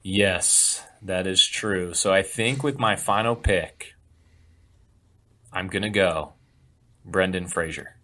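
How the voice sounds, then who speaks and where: A man's voice: deep voice, slow pace, pauses often. A man from Florida, a guest on a podcast, chatting casually and joking as he explains his picks. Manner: relaxed, joking, builds up his picks with hedging.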